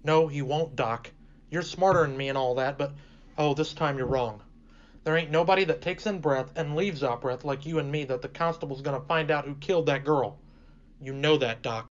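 A man's voice: fairly high pitch, normal speed, lo-fi sound, close to an everyday voice. A man playing a frightened, superstitious old country fellow in a horror radio drama, speaking in a folksy, hesitant way. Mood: fearful, awed.

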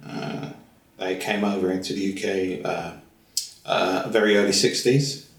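A man's voice: sits low, fairly even intonation, measured pace. A man, a guest in a casual interview, talking in a rambling, hesitant way with lots of fillers and repeated phrases. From England. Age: 50s. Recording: roomy echo.

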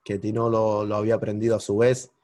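A man's voice: quick pace, no room sound, studio quality. Cuban-accented man telling a story. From Cuba. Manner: animated, jumps into high-pitched exclamations when retelling moments. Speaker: podcast guest. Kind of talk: storytelling in casual conversation.

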